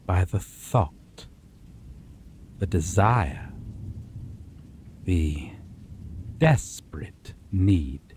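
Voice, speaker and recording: A man voicing a possessive, menacing male vampire in an ASMR roleplay, talking low and teasing and dropping to whispers. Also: mellow, slight background noise, full character voice.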